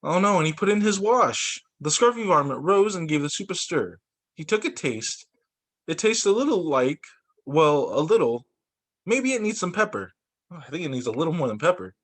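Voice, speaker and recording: Expressive man reading a picture book aloud. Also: a little high, normal speed. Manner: expressive, exclaims and comments on the story. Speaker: teacher reading to young children. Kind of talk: animated picture-book read-aloud with asides.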